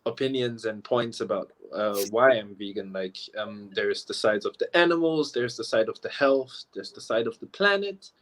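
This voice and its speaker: A man's voice: on the low side, expressive, normal speed, hardly any pauses, fairly bright tone. A young man from Taiwan, a professional dancer, chatting easily as a guest on a live video call and leaning on casual fillers. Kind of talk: casual interview chat. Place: remote live chat.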